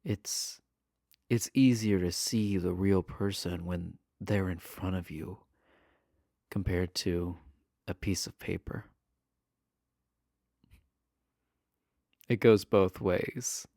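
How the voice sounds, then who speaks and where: Man acting shy, stammering villain, low creaky voice. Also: fairly even intonation, darkish tone, no room sound, studio quality, heavily acted. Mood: shy, hopeful. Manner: nervous stammering, halting, earnest. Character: shy, adorkable imprisoned male villain, smitten with pen pal. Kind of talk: intimate romance audio roleplay.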